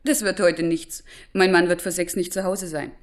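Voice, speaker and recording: A woman playing a practical village drugstore keeper in a radio play, with a brisk, businesslike way of talking. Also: fairly low pitch, steady tone, lightly acted. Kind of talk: naturalistic radio drama dialogue.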